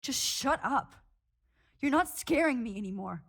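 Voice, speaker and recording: Earnest, defensive teenage girl, husky. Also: high voice, lively intonation, a little slow, a bit bright, full character voice. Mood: defensive, upset. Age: young adult. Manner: earnest, pleading.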